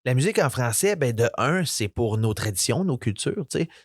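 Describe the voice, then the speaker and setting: A young man with a Franco-Ontarian French accent, a musician chatting as a guest, relaxed and rambling with lots of fillers. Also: mid-range voice, a little fast, dry recording, clean studio sound. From Eastern Ontario, Canada. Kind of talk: casual interview chat.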